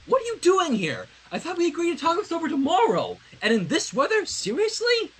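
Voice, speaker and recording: A man's voice: very high-pitched, huge pitch swings, a little fast, fairly bright tone, voice pushed up, full character voice. A man voicing a flustered, easily startled teenage girl in a fan fiction reading.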